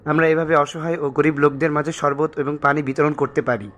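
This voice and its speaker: Woman acting earnest cartoon schoolkid, polite, fast pace, helpful. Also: low-pitched, steady tone, dull audio, close to an everyday voice. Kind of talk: didactic cartoon dialogue.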